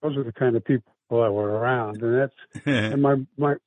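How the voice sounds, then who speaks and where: A man, telling his life story in a rambling, halting way. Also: medium pitch, fairly even intonation, darkish tone, no echo. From South Brooklyn, New York. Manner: rambling, halting, many restarts and fillers. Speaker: interview guest. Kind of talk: casual life-story interview. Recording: tinny phone-like dry sound.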